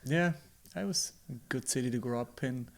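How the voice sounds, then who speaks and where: A man's voice: medium pitch, moderate pace, vocal fry. A man from Switzerland with a Swiss German accent, chatting casually as a podcast guest, laid-back and joking. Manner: laid-back, teasing, laughs easily. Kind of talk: casual conversation.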